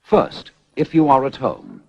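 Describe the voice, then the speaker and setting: Dull 1970s film soundtrack, British man, formal instructional narration, slightly husky, measured delivery. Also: mid-range voice, huge pitch swings, no echo. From United Kingdom. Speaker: public information film narrator. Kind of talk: scripted narration, 1970s civil defence announcement.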